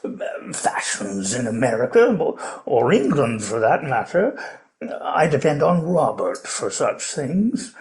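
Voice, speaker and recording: An actor playing an elderly, genteel plantation owner in a radio play, pompous and courteous, rambling with many hesitations. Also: male voice, medium pitch, big pitch swings, slow pace. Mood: fussy, polite. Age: older.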